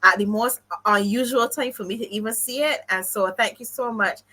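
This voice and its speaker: A woman's voice: fairly high pitch, fairly quick, bright, forceful tone. A woman from Barbados with a Barbadian accent, a gracious podcast guest.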